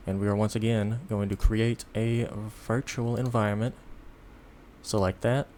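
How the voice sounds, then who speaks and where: A man giving a casual, unscripted software tutorial in an informal, halting, step-by-step way, with background noise. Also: fairly low pitch, flat delivery. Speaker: tutorial presenter.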